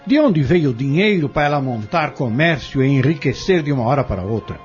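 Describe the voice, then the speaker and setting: A man's voice: medium pitch, lively intonation, fairly quick, lightly acted. A man acting a cornered defendant in an audio drama, defiant and argumentative as he feigns innocence. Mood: indignant, accusing. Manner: argumentative, rhetorical, insistent. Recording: muffled lo-fi audio with music.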